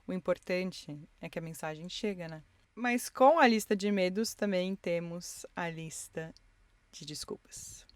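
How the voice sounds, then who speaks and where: Low-voiced woman musing from her car. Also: unhurried, no echo. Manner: reflective, encouraging, speaks directly to listeners. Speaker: podcast host. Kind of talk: intimate solo podcast monologue.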